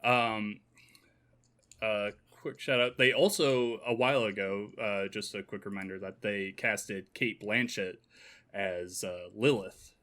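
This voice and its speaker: A man's voice: fairly low pitch, fairly even intonation, slow pace, sharp tone. A man co-hosting a podcast, chatting informally and explaining things as he goes. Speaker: podcast co-host. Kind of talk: casual chat.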